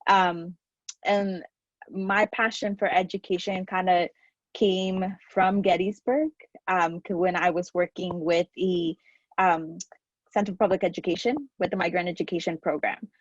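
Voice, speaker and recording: A woman's voice: fairly low pitch, fairly even intonation, a little slow, no room sound, muffled recording. A woman in her thirties, a New Yorker, speaking earnestly as an alumni panelist with many fillers. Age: 30s. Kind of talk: casual panel talk.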